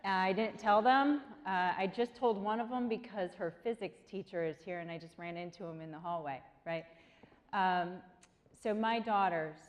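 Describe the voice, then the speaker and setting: A woman in her forties speaks to a room of students with a chatty, conversational manner, often turning to them with questions. Her voice sits at a middle pitch. The sound carries the long reverb of a large hall. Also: normal speed. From Korea. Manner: chatty, asks the audience questions. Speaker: guest speaker. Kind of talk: motivational talk to students.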